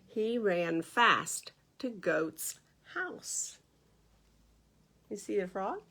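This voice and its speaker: Slow, expressive woman reading a picture book. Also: medium pitch. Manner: expressive, questioning, explains pictures to young children. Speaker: library storytime host. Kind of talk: children's picture-book read-aloud with asides.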